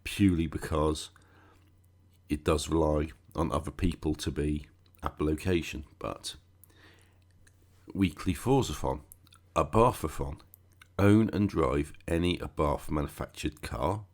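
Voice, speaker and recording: A man hosting a gaming channel, croaky and stuffed up with a cold, chatting casually through a game walkthrough with sarcastic asides. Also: rumbling low, unhurried.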